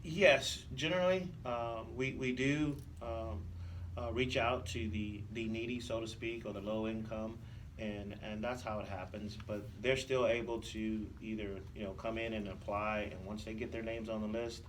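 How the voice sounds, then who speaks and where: Deepish-voiced man, slightly distant from mic. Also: steady tone, normal speed. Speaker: police officer, interview guest. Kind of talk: unscripted informational interview answers. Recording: distant mic, background room noise.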